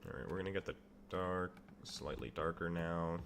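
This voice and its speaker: Brief: young man, very deep voice, rambling live-stream chat, faint typing behind. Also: moderate pace, vocal fry, a little hiss or hum. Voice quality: says he has a loud voice. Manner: rambling, answers chat questions, self-amused. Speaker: game developer streamer. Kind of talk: casual live-stream chat while working.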